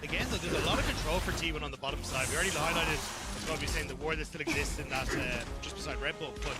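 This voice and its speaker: A man's voice: fairly high pitch, very fast, loud music behind the voice. A man giving analytical live commentary on an esports match.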